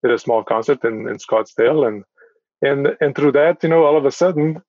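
A middle-aged man from Portugal answering interview questions as a podcast guest, speaking haltingly and repeating words as he goes. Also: mid-range voice, no echo. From northern Portugal. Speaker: podcast guest, town mayor. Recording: dull, muffled lo-fi audio.

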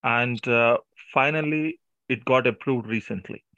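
A man calling in for advice, explaining his own case off the cuff in a halting, repetitive way. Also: medium pitch, bright, forceful tone, no room sound. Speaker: caller seeking immigration advice. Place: phone conference call. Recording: dull lo-fi conference call.

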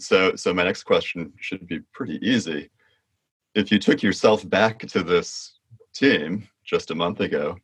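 A man's voice: low-pitched, a bit bright, husky, dry recording. A man hosting an interview podcast, welcoming listeners and asking probing, inviting questions. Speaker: podcast host.

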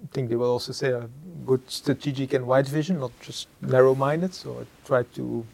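A middle-aged man, a Dutch speaker with a Flemish accent, talking as a company executive about his leadership, pausing often to search for words and tagging points with "huh?". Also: mid-range voice, steady tone, gravelly, a little mellow.

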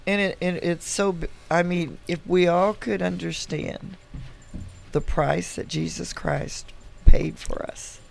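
A woman's voice: fairly low pitch, fairly even intonation, takes it slowly. A woman hosting a faith podcast with a congested voice, laughing often and turning earnest about belief. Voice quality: gravelly, congested. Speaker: podcast host. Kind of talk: casual podcast chat.

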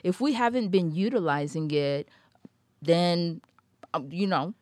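A woman's voice: on the low side, animated, a little slow, darkish tone, dry recording, studio quality. A woman from Hawaii talking casually and animatedly in a podcast conversation, with many fillers. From Hawaii, United States.

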